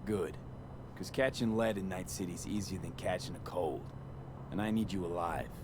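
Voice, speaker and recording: A man voicing a dry, low-voiced street mercenary. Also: moderate pace, close to an everyday voice. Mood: laconic. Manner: laconic, dry. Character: wry tough male street mercenary. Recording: game audio with background hum.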